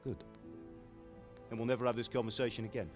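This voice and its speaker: An actor playing a stern middle-aged blacksmith father in a video game, with a gruff, lecturing manner. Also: medium pitch, fairly even intonation, brisk, lightly acted. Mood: stern, scolding. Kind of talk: dramatic video game acting. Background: music.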